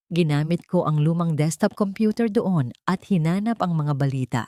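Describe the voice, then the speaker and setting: A woman's voice: low-pitched, mellow, no room sound, studio quality. A woman narrating a first-person audiobook drama in a scripted, dramatic storytelling style.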